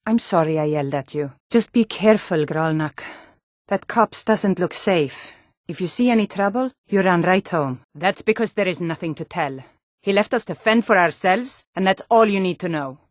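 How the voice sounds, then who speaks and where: An actress playing a gruff, wary Nordic female guard and townswoman in a fantasy video game, speaking bluntly with a Nordic accent. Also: fairly low pitch, animated, normal speed, no echo, clean studio sound, natural voice.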